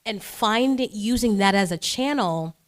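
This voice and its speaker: A woman's voice: medium pitch, animated, measured pace. A woman hosting an interview who asks long, enthusiastic, rambling questions. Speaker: interview host. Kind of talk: interview conversation.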